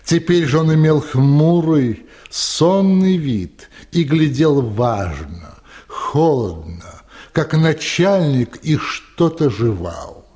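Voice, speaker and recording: A man narrating a literary radio play in a measured, dramatic storytelling manner. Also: on the high side, animated, warm tone, breathy edge.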